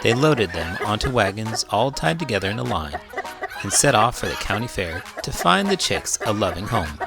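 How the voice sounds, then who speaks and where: A man's voice: normal speed, gravelly. A man reading a children's picture book aloud with great expression. His voice swoops dramatically up and down throughout, as music plays steadily in the background. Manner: expressive, with wide swings in pitch and volume. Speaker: children's book reader and teacher. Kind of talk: animated storybook read-aloud.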